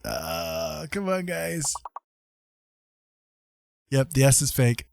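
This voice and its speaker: A man's voice: medium pitch, sing-song, drawn-out words, vocal fry, slight background noise. A man hosting a casual livestream, commenting on a game in an encouraging, jokey manner. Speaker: livestream host.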